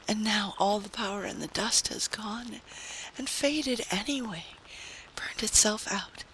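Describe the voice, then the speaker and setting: A man's voice: high voice, bright, forceful tone, voice pushed up, exaggerated voice, low-quality recording. A man voicing a fervent, confident wife with a ringing, insistent manner in a dramatic story reading. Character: fervent, confident young wife, defiantly hopeful. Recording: faint background noise.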